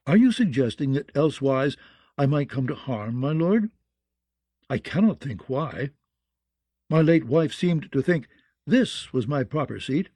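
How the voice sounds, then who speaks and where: A man's voice: on the high side, lively intonation, measured pace, warm tone, dry recording. Man voicing a sly, unruffled nobleman, close and dry. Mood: mild, feigned innocence. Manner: courteous, dry, feigning innocence. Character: sly, unruffled nobleman, mildly mocking schemer. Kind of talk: fantasy audiobook character acting.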